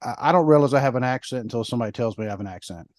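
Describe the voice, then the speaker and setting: A man's voice: medium pitch, animated, rapid-fire, dry recording, studio quality. A man co-hosting a podcast, chatting in a rambling way with lots of fillers. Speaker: podcast co-host. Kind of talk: casual podcast chat.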